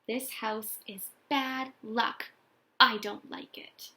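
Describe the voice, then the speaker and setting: A woman's voice: on the high side, steady tone, takes it slowly, pushed and bright. Woman voicing a whiny, easily spooked little boy. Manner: wailing, whining.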